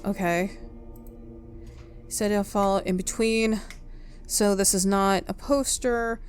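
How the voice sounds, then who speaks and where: A woman giving casual gameplay commentary, thinking aloud in a halting, hesitant way. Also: medium pitch, steady tone, slight background noise. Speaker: game player.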